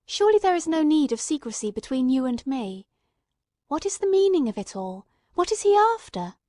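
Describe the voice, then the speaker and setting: A woman's voice: high voice, very animated, normal speed, dark tone, no room sound, studio quality, voice pushed up. A woman voicing an earnest male companion who questions a detective with urgent disbelief, in a dramatised reading. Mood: pleading. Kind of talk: audiobook-style dramatised reading.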